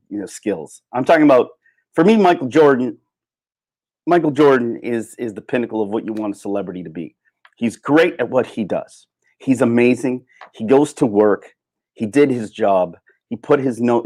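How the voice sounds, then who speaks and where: A man giving a casual, opinionated livestream rant, sardonic and emphatic, with halting starts and repeated phrases. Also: mid-range voice, a little mellow. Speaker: livestream host.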